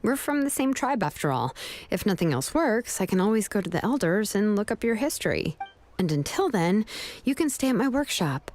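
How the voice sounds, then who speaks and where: A woman voicing a laid-back, caring adult woman smith who speaks in a gentle, reassuring way. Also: medium pitch, big pitch swings, moderate pace, natural voice. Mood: easygoing. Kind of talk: video game voice acting.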